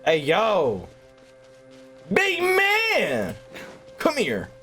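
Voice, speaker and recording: A young man playing a video game and reacting loudly, with crude jokes and slang. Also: high-pitched, wildly swooping pitch, extremely slow, faint music behind. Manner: loud, crude, joking slang. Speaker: gaming YouTuber. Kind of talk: let's play reactions.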